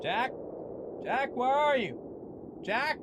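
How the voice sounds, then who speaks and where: Man acting a high, pleading young man. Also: animated, takes it slowly, background noise, exaggerated voice. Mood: searching, worried. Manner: pleading, calling out. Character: anxious young man calling out to his partner in snow. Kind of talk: old-time radio drama acting.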